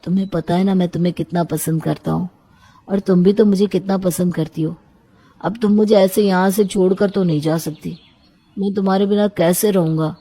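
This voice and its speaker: A devoted young man in love, played by a woman, with a dark, mellow and rather breathy voice. The delivery is earnest and plaintive storybook dialogue, needy and sincere. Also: on the low side, steady tone, normal speed. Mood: upset, pleading.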